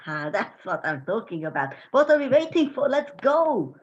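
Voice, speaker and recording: A woman's voice: a little high, big pitch swings, a little slow, husky, lo-fi sound, heavily acted. A woman playing a hapless, cheeky and impulsive farm boy in an amateur myth play reading. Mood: eager, cheeky.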